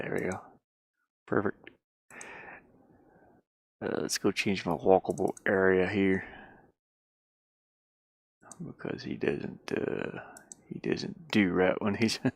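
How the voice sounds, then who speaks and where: A man's voice: low-pitched, fairly even intonation, slow pace, creaky, long pauses, dry recording. A man giving casual think-aloud commentary as he works on a game, rambling and muttering to himself with repeated words. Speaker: game developer streaming his work.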